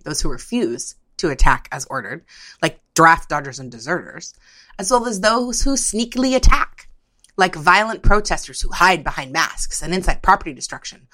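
A woman presenting a podcast, reading a scripted opinion piece in a measured, questioning manner. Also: on the low side, bright, forceful tone.

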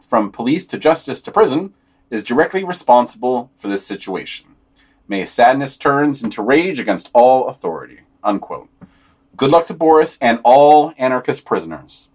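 A man with a mid-pitched, somewhat husky voice reads written summaries and quotations aloud as a podcast presenter. He adds brief commentary of his own as he goes. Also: animated, normal speed, darkish tone. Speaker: podcast news reader.

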